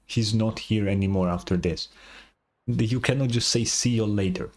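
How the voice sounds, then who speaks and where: A man's voice: lowish voice, moderate pace. A man giving casual live reaction commentary as a streamer, blunt and sweary with incredulous asides. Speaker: streamer reacting to a game story. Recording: game music behind the voice.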